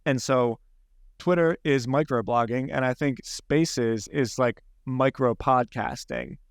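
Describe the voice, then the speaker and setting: A man's voice: mid-range voice, takes it slowly, creaky, smooth, no room sound, clean studio sound. A man with a Philadelphia accent, hosting a podcast in casual, rambling conversation with frequent restarts. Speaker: podcast host.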